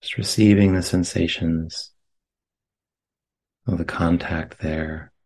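A man leading a guided meditation as a teacher, speaking gently and unhurriedly in short phrases with long pauses. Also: low-pitched, steady tone. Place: meditation center.

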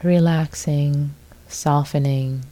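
A woman leads a guided meditation in a very soft, dark and mellow voice. She speaks slowly and gently, leaving long silences between short phrases. Her pitch sits low for a woman, and her delivery stays calm throughout. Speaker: meditation teacher.

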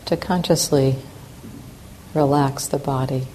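A woman teaching a guided meditation, giving calm, unhurried instructions with long pauses between phrases. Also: extremely low pitch. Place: meditation center hall. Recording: clear steady background noise.

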